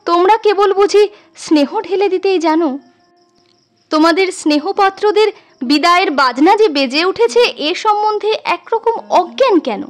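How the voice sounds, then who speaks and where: A woman's voice: very high-pitched, animated, measured pace, soft background music, voice pushed up. A woman voicing an earnest, guilt-troubled young man in a story reading, hesitant and emotional. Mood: anguished.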